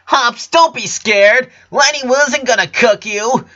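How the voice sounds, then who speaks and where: A man voicing excitable, smug little twin girls in a storybook reading, with a whiny, squabbling manner. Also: extremely high pitch, slow, lo-fi sound, voice pushed up, exaggerated voice. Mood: panicked. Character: bickering little girl twins, excitable and smug.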